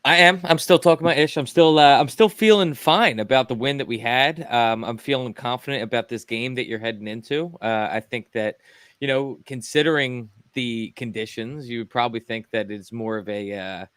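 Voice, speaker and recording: A man's voice: mid-range voice, moderate pace, fairly bright tone, clear, resonant tone, dry recording, clean studio sound. A man co-hosting a casual sports podcast, upbeat and easygoing. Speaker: podcast co-host.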